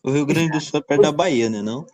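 A man's voice: on the high side, fast, a little mellow. A man from São Paulo with a Brazilian accent, bantering casually as a podcast regular, slangy and teasing.

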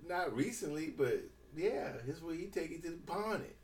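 A man's voice: on the high side, animated, normal speed, darkish tone. A man in his late forties chatting casually as a podcast co-host, teasing and blunt with slangy, profane banter, recorded off the mic in a noisy room. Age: late 40s. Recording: roomy, distant mic with background noise.

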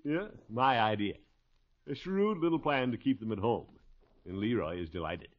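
An actor playing a blustering, hopeful middle-aged uncle who flusters easily, in a 1950s radio comedy. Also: male voice, lively intonation, moderate pace. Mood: hopeful, hearty. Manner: fussy, exclaiming, swings from booming to muttering. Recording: muffled 1950s radio recording.

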